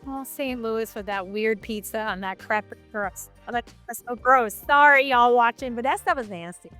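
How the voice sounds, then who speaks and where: A woman's voice: on the high side, normal speed, pushed and bright, faint music behind. Chatty, enthusiastic woman host. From Michigan. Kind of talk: casual business chat.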